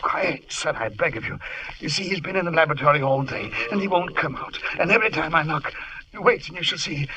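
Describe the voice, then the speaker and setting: A man's voice: fairly high pitch, lively intonation, a little fast, crowd noise, natural voice. An actor playing a frightened, deferential longtime servant, a man, in an old radio horror drama. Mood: anxious, hushed. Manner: anxious, deferential. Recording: noisy old radio recording.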